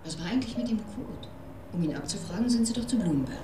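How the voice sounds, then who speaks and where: A woman's voice: medium pitch, animated, fast talker, natural voice. An actress playing a dry, insistent woman investigator in a German radio drama, asking pointed questions. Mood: probing, dry. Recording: clear background noise, ambient sounds.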